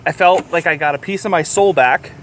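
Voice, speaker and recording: A man with a slightly higher-pitched voice chats casually about films as a podcast co-host. He is irreverent and loose with profanity. A faint layer of background noise sits behind his voice. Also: fairly quick, a bit bright. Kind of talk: casual film review chat. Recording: clear background urban ambient noise.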